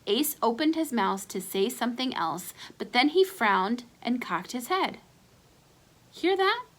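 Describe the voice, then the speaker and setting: A woman reading a children's chapter book aloud as an expressive storyteller. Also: mid-range voice.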